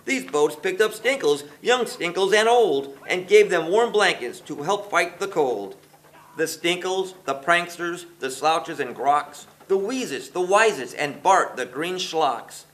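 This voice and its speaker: A man reading a rhyming children's story aloud in an expressive, sing-song rhythm. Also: sits high, slow pace. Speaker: storybook reader.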